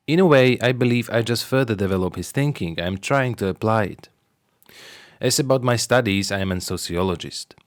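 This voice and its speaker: A man with a Slovak accent, a native Slovak speaker, presenting a scripted explanatory monologue as a sociologist and marketer, in a measured, deliberate way. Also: on the low side, dry recording, studio quality.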